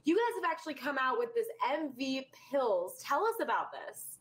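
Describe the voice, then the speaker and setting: High-voiced upbeat young woman host. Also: big pitch swings, normal speed. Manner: upbeat, chatty. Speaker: TV show co-host. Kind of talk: lifestyle TV interview.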